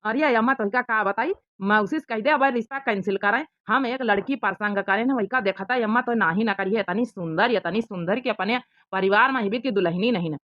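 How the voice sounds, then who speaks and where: A woman's voice: medium pitch, a little fast, hardly any pauses, no echo, dull audio, lightly acted. A woman voicing an eager, lovestruck young village bachelor with an Awadhi accent in a comedy cartoon. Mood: excited, eager.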